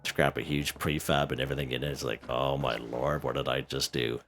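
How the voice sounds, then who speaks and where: A man hosting a casual game walkthrough, chatty and a little hoarse, who keeps checking in with "okay?" and "right?". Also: a bass voice, flat delivery, a little fast, fairly bright tone, faint music behind. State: slightly hoarse. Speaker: gaming video host.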